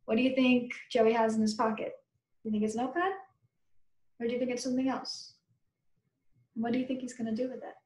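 A woman's voice: a little high, little pitch movement, a little fast, pauses often, a little mellow. A woman teacher reading a children's book aloud, stopping to question and explain things to her pupils. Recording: roomy indoor echo.

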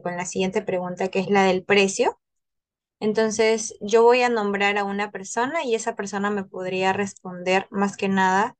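A young woman with a Peruvian accent, moderating a structured focus group on a video call. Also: medium pitch. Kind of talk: structured group discussion, introducing questions.

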